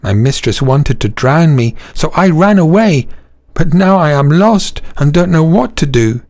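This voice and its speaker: A man's voice: a little high, expressive, measured pace, darkish tone, no echo, clean studio sound, voice pushed up. A man voicing a miserable old cat who fears for her life, in a storybook reading.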